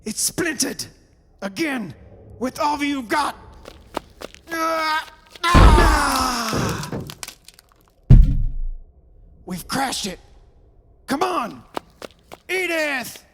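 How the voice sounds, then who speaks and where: A man's voice: very high-pitched, animated, very slow, long pauses, bright, forceful tone, exaggerated voice. An actor playing a desperate, anguished father in an old-time style radio horror drama. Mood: straining, frantic. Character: desperate, anguished father trying to rescue his daughter. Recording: hissy hall recording with long reverb.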